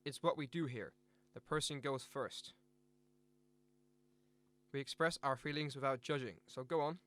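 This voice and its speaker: A man playing a calm rehab centre worker in a radio drama, matter-of-fact and gently insistent. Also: medium pitch, moderate pace, natural voice. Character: calm, firm rehab center worker leading group sessions.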